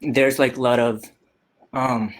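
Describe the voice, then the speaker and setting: A young man from Japan talks slowly on a video call, halting often with fillers and restarting his sentences. His pitch sits in an ordinary middle range. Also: little pitch movement. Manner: hesitant, many fillers and restarts. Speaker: youth participant. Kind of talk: casual video call chat.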